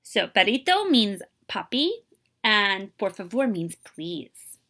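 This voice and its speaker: A woman reading a picture book aloud to children as a librarian, expressive and explanatory, putting questions to the listener. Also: medium pitch, takes it slowly.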